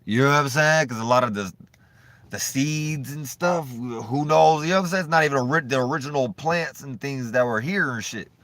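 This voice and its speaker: A man vlogging in a loose, profane, rambling monologue, swinging between animated bursts and low mumbling. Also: brisk, dry recording. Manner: loose, profane and repetitive, full of "you know what I'm saying".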